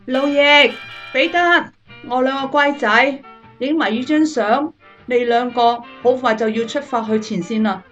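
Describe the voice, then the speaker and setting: A woman plays a doting mother fussing tenderly over her grown sons. Her voice is high, in radio drama style, slightly muffled with faint music underneath. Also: fairly even intonation, unhurried, close to an everyday voice. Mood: tender, cheery. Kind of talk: Cantonese radio drama acting. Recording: slightly dull sound, faint music behind.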